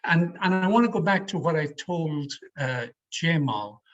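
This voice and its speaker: A middle-aged Irishman with a slightly high voice coaches public speaking in a deliberate, emphatic way. He answers questions over an online call, and the sound is a little dull and lo-fi. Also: expressive. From Ireland. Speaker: speaker leading a public speaking education session. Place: online video meeting.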